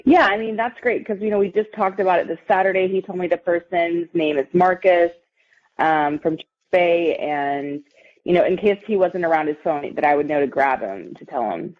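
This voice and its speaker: A woman's voice: on the low side, moderate pace. A woman talking casually and hesitantly as a caller to a radio show. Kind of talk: casual phone chat, hesitant explaining. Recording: muffled lo-fi audio, music underneath.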